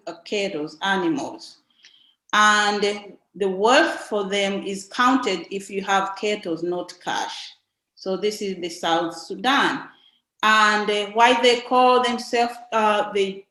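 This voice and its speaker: A young woman with a Tanzanian accent gives an informative presentation in a halting way, often pausing and repeating words. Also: mid-range voice, a bit bright. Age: young adult. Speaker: invited speaker, nun. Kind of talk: informative slide presentation talk. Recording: echoey, roomy sound.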